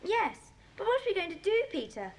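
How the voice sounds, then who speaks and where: A woman's voice: extremely high pitch, big pitch swings, brisk, exaggerated voice. A woman voicing an eager, alert young schoolgirl, a little sister in a children's audio drama. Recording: roomy, faintly noisy cassette dub.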